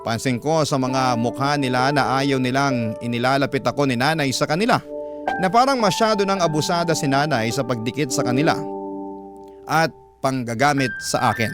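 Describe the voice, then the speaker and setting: Filipino man narrating over loud music. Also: mid-range voice. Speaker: radio drama storyteller. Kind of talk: scripted first-person story narration. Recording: loud music bed under the voice. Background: instrumental music.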